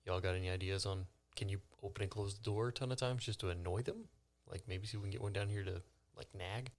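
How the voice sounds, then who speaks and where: A man's voice: on the low side, quick pace. A man talking casually and informally as a player in a tabletop game, often questioning. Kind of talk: casual improvised game chat.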